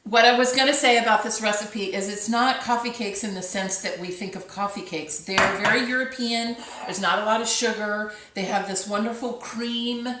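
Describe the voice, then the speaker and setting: A middle-aged woman talks in a friend's kitchen in a chatty, joking way, often talking over the other speaker. Her voice sits at a middle pitch. The kitchen is echoey, with a faint clatter of dishes and pans behind her. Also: steady tone, moderate pace, hardly any pauses, fairly bright tone, a little hiss or hum. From Sacramento area. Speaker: guest friend. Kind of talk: casual kitchen chat. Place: home kitchen.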